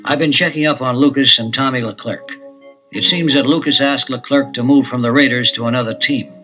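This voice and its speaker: Detective father, middle-aged male actor, measured and protective, forceful tone at medium pitch, vintage record drama. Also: steady tone, soft background music, close to an everyday voice. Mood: analytical. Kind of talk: 1970s audio adventure acting.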